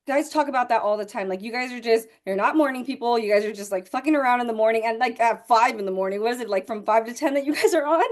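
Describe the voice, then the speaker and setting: A woman's voice: on the high side, quick pace, few pauses. A woman of thirty-one hosting a casual, chatty interview podcast in a slangy, enthusiastic manner. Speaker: podcast host.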